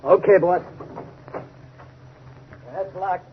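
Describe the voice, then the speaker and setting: A man's voice: sits high, fairly even intonation, very slow, breathy edge, full character voice. An actor playing a dim, eager, brutal henchman with slangy, uneducated speech in a 1930s radio mystery. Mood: puzzled, obedient. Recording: noisy, dull 1930s radio recording.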